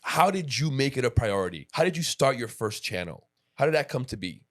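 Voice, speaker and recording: Man in his late thirties, podcast host, casual, rambling, mid-pitched voice. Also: big pitch swings, brisk, a bit bright. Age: 30s. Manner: friendly, rambling, many restarts and asides. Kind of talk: casual interview chat.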